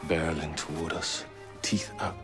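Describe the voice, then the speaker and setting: A grim zealot villain with an extremely deep voice, solemn and grandiose, as in a dramatic game cutscene. Loud music plays over the speech. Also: male voice, little pitch movement, normal speed. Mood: grim resolve. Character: grim male villain, Templar leader, cold zealot.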